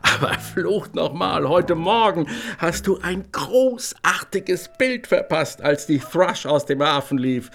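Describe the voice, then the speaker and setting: An actor playing a loud, gruff, middle-aged naval father who curses and blusters heartily in a radio drama. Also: fairly high pitch, animated, takes it slowly, hardly any pauses, exaggerated voice. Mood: hearty blustering. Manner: blustering, hearty, swears often. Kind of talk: literary radio drama acting.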